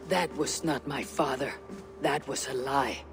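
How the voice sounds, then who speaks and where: An actor playing a stern, cold young Viking warrior in a video game, speaking curtly and in measured terms. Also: male voice, mid-range voice, gravelly, in a large hall, over music. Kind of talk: video game cutscene acting.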